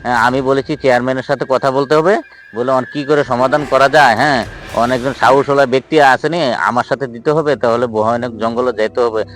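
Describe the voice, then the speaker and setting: A man's voice: mid-range voice, fairly even intonation, fast talker, fairly bright tone, soft background music, natural voice. A man playing an earnest, reassuring villager in an improvised comedy skit, repeating himself and constantly checking for agreement. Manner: repetitive, constantly seeking agreement. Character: earnest villager guide organizing a jinn hunt, reassuring.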